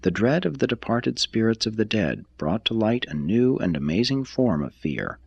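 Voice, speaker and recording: A man reading a written text aloud like an audiobook, in a measured, formal, deliberate manner. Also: lowish voice, fairly bright tone, breathy edge, no echo. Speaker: reader of a text. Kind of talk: scripted reading, audiobook-like narration.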